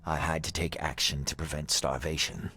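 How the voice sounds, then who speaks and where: An actor playing a courteous, benevolent heir of an influential family in a video game, speaking formally and with measured politeness. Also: male voice, a bass voice, level tone, pushed and bright. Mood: composed, guarded. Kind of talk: video game cutscene dialogue.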